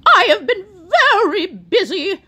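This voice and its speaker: A woman voicing a cheerful, literal-minded housekeeper in a storybook read-aloud, with squealing, drawn-out exclamations. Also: extremely high pitch, very animated, bright, forceful tone, voice pushed up, exaggerated voice. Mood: delighted.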